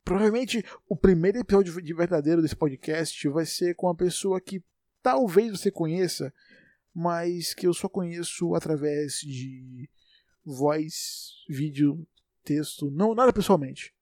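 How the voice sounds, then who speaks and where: A man's voice: on the high side, a little slow, dark tone, no echo, studio quality. A man in his twenties from Salvador with a Bahian accent, hosting a solo podcast in a rambling, self-correcting way. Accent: Bahian Portuguese. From Salvador, Bahia, Brazil. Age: 20s. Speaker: podcast host. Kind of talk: unedited solo podcast monologue.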